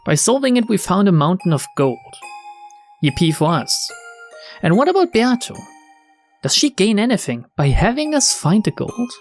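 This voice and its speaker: A man's voice: sits high, big pitch swings, normal speed, soft background music. A man reading visual novel text aloud as a let's-play narrator, dropping wry, sarcastic and sweary commentary.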